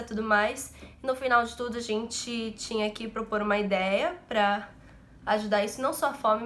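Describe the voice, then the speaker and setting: A seventeen-year-old woman talks to camera from her bedroom, stuffy and nasal from a cold. Her voice sits a little high, and she rambles in a chatty, self-mocking way. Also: a bit bright. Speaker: vlogger. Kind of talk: casual chat to camera.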